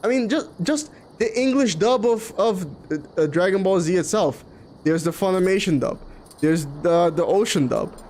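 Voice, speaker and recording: A man's voice: high voice, expressive, moderate pace, darkish tone. A man from Curaçao with Papiamento as his mother tongue, chatting casually and opinionatedly as he streams a game. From Curaçao, in the Caribbean. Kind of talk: casual live stream chat over gameplay. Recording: clearly noisy background.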